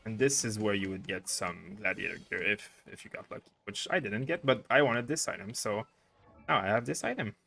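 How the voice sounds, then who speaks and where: A man's voice: fairly low pitch, animated, fairly quick, vocal fry, pushed and bright, soft background music. A young man from Quebec with a Quebec French accent, casually chatting through a livestream and thinking aloud with lots of fillers. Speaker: game streamer.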